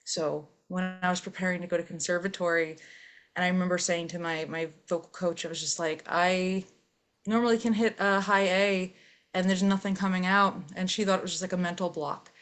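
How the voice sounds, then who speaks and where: A woman with a fairly low voice, a metal vocalist, talks through her story in a casual interview. She is open and unhurried and leans on easy fillers as she goes. Her manner stays relaxed and conversational. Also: clean tone.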